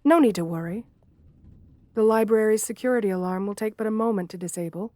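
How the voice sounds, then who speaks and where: A shy gargoyle woman, played by a female performer for a fantasy ASMR roleplay. She speaks at a medium pitch in formal, bookish phrases, gentle and polite. Her bashful hesitations give away a lonely, romantic temper. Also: fairly quick, dry recording, close to an everyday voice. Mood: kind, teasing. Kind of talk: ASMR fantasy roleplay, intimate one-sided dialogue.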